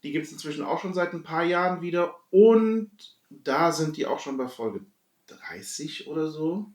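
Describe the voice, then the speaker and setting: A middle-aged man chatting casually and nostalgically as a podcast host, often halting to search for words. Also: on the high side, animated, darkish tone, distant mic. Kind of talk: casual nostalgic chat among friends. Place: echoey room, away from the mic. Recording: roomy, off-mic sound.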